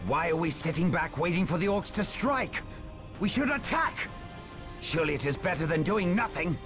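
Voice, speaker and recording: A man's voice: on the high side, animated, normal speed, natural voice. A man voicing an impatient hotheaded soldier. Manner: impatient, urgent. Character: hotheaded young male space marine officer. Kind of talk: video game cutscene acting. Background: music.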